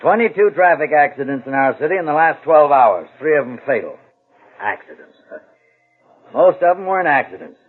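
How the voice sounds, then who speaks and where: Stern old-time radio police captain, husky, bossy, brisk, tinny recording. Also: male voice, a little high, lively intonation. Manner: authoritative, deliberate. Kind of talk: 1950s radio drama acting.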